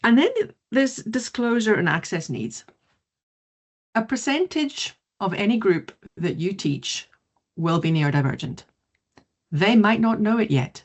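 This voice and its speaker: A middle-aged woman from South Africa, whose first language is not English, giving an online mindfulness presentation in a lively, quick way. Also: medium pitch. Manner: lively, quick, laughs easily. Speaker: mindfulness teacher.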